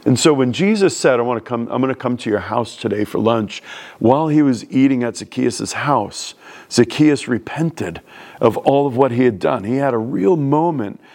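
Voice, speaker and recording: A man's voice: medium pitch, a little mellow. A man from New York City with a New York accent, preaching a sermon in a plain-spoken, conversational way. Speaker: pastor.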